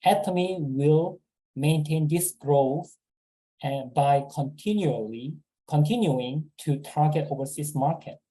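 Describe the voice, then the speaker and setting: Halting man, dark voice. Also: on the high side, low-quality recording. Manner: halting, repeats words, many fillers. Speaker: business presenter. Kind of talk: sales seminar talk.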